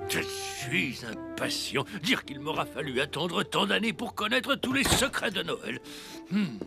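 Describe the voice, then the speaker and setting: An actor playing a pompous, impatient old Santa Claus in a children's cartoon, with a theatrical, coaxing delivery. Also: male voice, fairly high pitch, lively intonation, measured pace, gravelly, music in the background. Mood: eager, impatient. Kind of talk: French children's cartoon dubbing.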